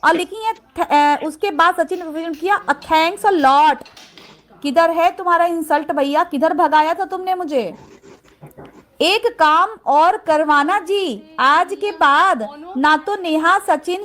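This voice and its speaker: High female voice, casual commentary, emphatic, indignant, reading aloud to viewers. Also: fairly bright tone. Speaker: vlogger reading out chat messages and commenting.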